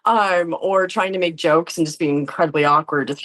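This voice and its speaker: A woman's voice: fairly low pitch, a little slow, fairly bright tone. A woman chatting casually as an interview guest, informal and self-effacing. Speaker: interview guest, tabletop game performer. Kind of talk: casual chat.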